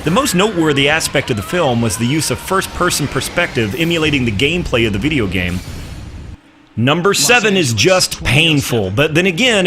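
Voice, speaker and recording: Lively, high-pitched male countdown narrator. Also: slight background noise. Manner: wry, sarcastic. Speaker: countdown video host. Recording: music under the voice. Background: music.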